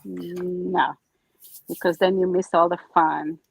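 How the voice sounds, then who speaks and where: Woman, Israeli accent with New York traces, gravelly tone, chatty crafting demo host. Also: fairly low pitch, flat delivery, slow pace, rough recording. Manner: chatty, narrates her steps. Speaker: craft demonstrator. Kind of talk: live crafting demo chat.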